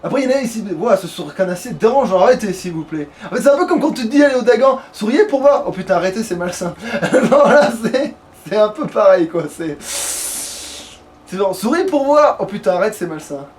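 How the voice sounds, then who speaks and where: A man's voice: high-pitched, animated, brisk, distant mic. A man giving casual, grumbling, sweary commentary while playing a video game. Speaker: let's player. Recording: clear background noise, game ambience.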